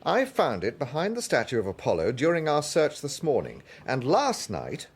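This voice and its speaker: An actor playing an urbane gentleman police detective in a radio drama, with a British accent and a courteous, probing, dryly amused manner. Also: mid-range voice, wildly swooping pitch, normal speed. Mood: probing, brisk.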